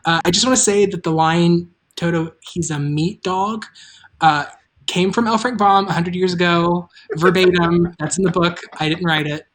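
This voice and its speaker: A woman's voice: on the low side, fairly even intonation, normal speed. Casual woman hosting a livestream. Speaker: host and writer of a live table read.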